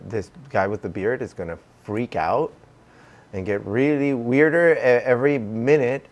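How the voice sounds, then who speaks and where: A man's voice: medium pitch, a little mellow. A man in his forties, a DJ and music producer, talking in a casual, rambling documentary interview with frequent fillers and swearing. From Canada.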